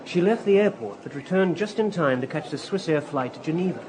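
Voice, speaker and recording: An actor playing an eager, efficient, respectful police inspector in a radio drama. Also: male voice, fairly high pitch, lively intonation, brisk, crowd noise, lightly acted. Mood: efficient, helpful. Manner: businesslike, respectful. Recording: clear background ambience noise.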